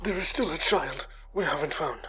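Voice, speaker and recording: A man playing a proper, irritable young academy man in a narrative podcast drama, with formal, measured phrasing. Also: fairly high pitch, pushed and bright. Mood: urgent. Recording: background music under voice.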